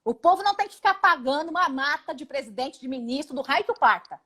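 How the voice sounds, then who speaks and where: A woman in her forties with a Brazilian Portuguese accent, a congresswoman giving a live video interview in an emphatic, indignant way, often speaking in rapid lists. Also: high voice, animated, sharp tone. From Paraná, Brazil. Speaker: congresswoman guest. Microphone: video call.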